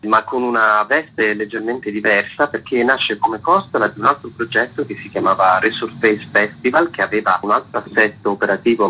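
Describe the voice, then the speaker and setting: A man's voice: fairly low pitch, pushed and bright, breathy edge, low-quality recording. A man speaking as an interview guest, articulate and formal, in long winding sentences. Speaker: festival artistic director, interview guest. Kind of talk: prepared interview answers.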